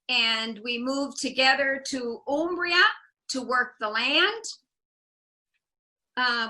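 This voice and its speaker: Bright, high-voiced older American woman. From US Midwest. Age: 70s. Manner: warm storyteller, drops in Italian words with relish. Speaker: tour guide presenting on a video call. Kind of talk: illustrated talk over slides. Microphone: video call.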